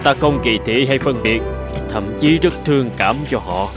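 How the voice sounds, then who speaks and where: A man voicing a grave older gentleman physician and mentor in a dubbed cartoon, speaking in a measured, formal, earnest way. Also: mid-range voice, music in the background, natural voice. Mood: urging, concerned.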